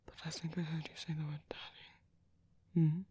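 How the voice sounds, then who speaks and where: A man playing a gentle, caring vampire priest in a whispered ASMR roleplay, speaking slowly and tenderly with soft, hesitant reassurance. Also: a little high, monotone, no echo. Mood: tentative, tender. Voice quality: soft, breathy, husky whisper.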